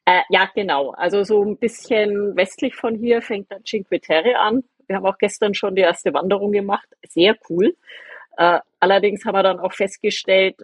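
Husky-voiced woman, chatty and hesitant. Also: mid-range voice, fairly even intonation, normal speed, dry recording. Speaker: podcast panelist. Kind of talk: casual remote chat. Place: indoor room, holiday home.

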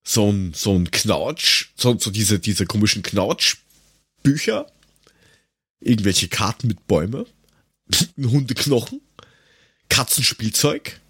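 A man's voice: fairly low pitch, very animated, slow pace, pauses often, fairly bright tone, slightly rough, no echo, clean studio sound. A man chatting casually as a podcast co-host, joking sarcastically with crude slang. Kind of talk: casual chat, humorous banter.

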